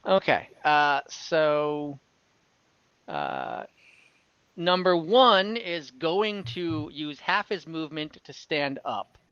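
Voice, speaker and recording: A game master with a bright, forward voice runs a tabletop fantasy fight at an unhurried pace. The rolls and numbers are tallied aloud as the action is narrated. The sound is somewhat dull and lo-fi, as from an online call. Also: mid-range voice, big pitch swings, smooth, dry recording. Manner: thinking aloud while tallying numbers. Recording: dull lo-fi mic with faint noise and clicks.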